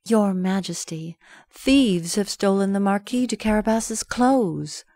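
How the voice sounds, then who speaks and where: A woman's voice: mid-range voice, expressive, slow pace, mellow, dry recording. A woman voicing a clever scheming male cat who speaks with courtly politeness, in a storybook reading.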